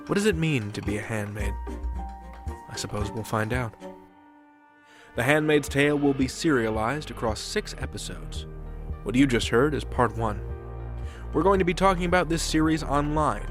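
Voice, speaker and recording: Male podcast host, music behind. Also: medium pitch. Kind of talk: scripted narration and sponsor reading.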